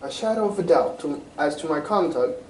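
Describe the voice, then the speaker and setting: An amateur actor, a man, playing an anxious, proud European king in a radio drama style, formal, grave and halting. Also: a little high, expressive, darkish tone, lightly acted. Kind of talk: 1940s-style radio mystery acting. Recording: roomy, distant stage recording with faint noise.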